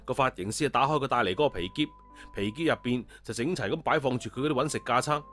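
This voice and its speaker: A male storyteller reads an audio tale in everyday colloquial language. His voice sits at a medium pitch, with music playing beneath it. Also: fast talker, a bit bright.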